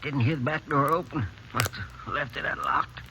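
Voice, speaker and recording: A man playing a wounded, shaken frontier clerk in a radio western, halting and short of breath, with folksy rural grammar. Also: mid-range voice, close to an everyday voice. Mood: pained, weary. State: breathless, labored, in pain. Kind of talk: old-time radio western acting. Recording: noisy vintage radio recording.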